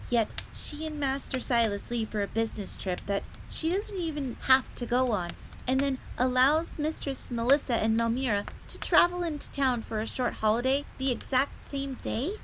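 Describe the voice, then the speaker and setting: A high-pitched young fox maid, played by a woman, earnest and secretive. She confides like a servant sharing castle lore in an audio roleplay, with a crackling fire audible behind her. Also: lively intonation, normal speed, hardly any pauses, a bit bright. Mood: confiding. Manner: speaks of herself in third person, confiding.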